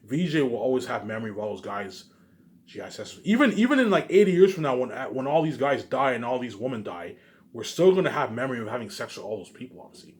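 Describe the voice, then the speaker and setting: A man's voice: mid-range voice, very animated, fast talker. A man giving a rambling, unscripted vlog monologue, emphatic and repetitive, circling back over his phrases and swearing at times. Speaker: vlogger.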